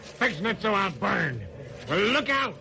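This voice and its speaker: An actor playing a defiant condemned gangster in an old radio crime drama, spitting slangy, menacing threats. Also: male voice, high-pitched, sing-song, measured pace, creaky, sharp tone, heavily acted. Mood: vengeful rage. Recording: vintage radio drama recording.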